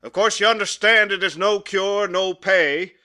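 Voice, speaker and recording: A man's voice: high-pitched, measured pace, pushed and bright, exaggerated voice. A man doing a scornful, curt office boss. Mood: brisk. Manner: curt, scolding, emphatic. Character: stern, contemptuous male office employer.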